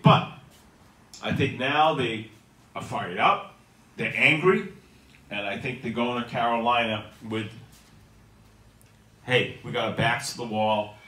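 A man's voice: lowish voice, moderate pace, long pauses, pushed and bright, far from the mic. A middle-aged man hosting a casual sports talk show, making his points with punchy emphasis into a handheld mic in a small restaurant. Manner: emphatic, punchy delivery with rising stresses. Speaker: host. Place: small restaurant dining room, seated at a table. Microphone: handheld microphone. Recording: echoey room, a bit off mic.

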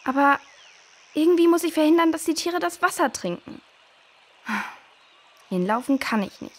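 A young girl in a children's radio adventure. She is curious and easily worried, with a high voice and lively, swooping intonation as she thinks aloud. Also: normal speed. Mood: worried, determined.

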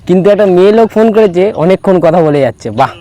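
A man speaks in a high voice at a quick pace. He hosts a prank video, commenting to viewers casually and bluntly in plenty of slang. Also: expressive, warm tone. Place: open field outdoors.

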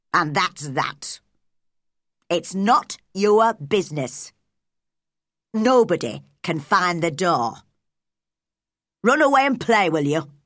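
A woman voicing a gruff, blunt, lonely old male gardener in a storybook reading. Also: fairly low pitch, fairly bright tone, no echo, studio quality, full character voice. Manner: blunt, curt.

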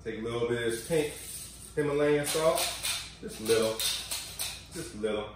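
A man's voice: mid-range voice, moderate pace, background noise. A man in his forties hosting a casual cooking demonstration in a home kitchen, chatty and coaching as he gives health tips. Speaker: cooking show host. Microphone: far camera mic. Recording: echoey kitchen from far off.

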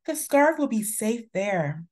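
A woman's voice: fairly high pitch, very animated, slow pace, darkish tone. A woman voicing a kind, encouraging female primary school teacher in a storybook, announcing and reassuring.

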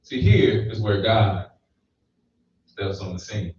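A man in his thirties preaches in a conversational way, often asking the room "right?". His voice is slightly low and mellow. It is heard from a distance in a very echoey hall, on a somewhat dull recording with faint background noise. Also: little pitch movement, slow. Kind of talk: sermon. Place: small church hall with stage. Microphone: handheld mic.

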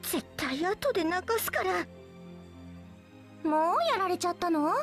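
High-pitched, teasing anime girl archer. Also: animated, unhurried, fairly bright tone, soft background music, exaggerated voice. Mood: pouty, cocky. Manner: coy, teasing, theatrical. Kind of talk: Japanese anime game voice acting.

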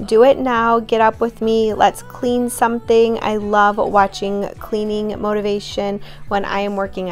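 A young adult woman narrating a home cleaning vlog in a casual, upbeat voice-over, talking straight to her viewers. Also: medium pitch. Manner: upbeat, chatty, addresses viewers directly. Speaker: home vlogger.

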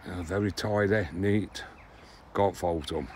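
A man's voice: deep voice, unhurried, a little mellow, slight background noise. A man in his sixties from England chatting casually and rambling about his allotment harvest, often correcting himself. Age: 60s. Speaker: allotment gardening vlogger. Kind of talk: casual chat to camera. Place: allotment greenhouses and plots, partly outdoors.

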